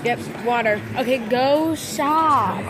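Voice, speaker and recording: A young girl with a slightly raspy voice, chattily reviewing toys on a handheld phone in a big store, often distracted. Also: high voice, takes it slowly, music in the background. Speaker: vlogger. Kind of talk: casual toy review vlog. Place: large indoor retail store. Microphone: handheld phone camera.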